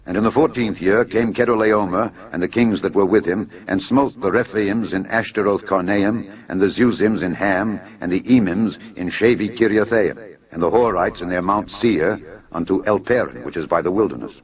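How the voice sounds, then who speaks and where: A man giving a dramatised scripture narration in a solemn, measured storytelling manner. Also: low-pitched. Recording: dull lo-fi audio under music. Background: background music.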